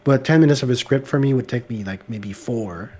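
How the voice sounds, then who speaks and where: A man whose first language is French, chatting casually about making videos; his voice is slightly gravelly, he hesitates and fills with "like", and he is picked up on a laptop microphone. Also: medium pitch, brisk, a little mellow. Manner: hesitant, many "like" fillers. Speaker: guest video creator. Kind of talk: casual podcast chat.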